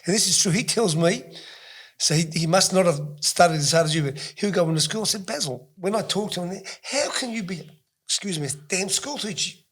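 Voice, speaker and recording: A man hosting a casual talk show. He talks at a rapid pace in a somewhat high voice and tells excitable, jokey anecdotes. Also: slightly rough. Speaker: host. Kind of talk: casual chat.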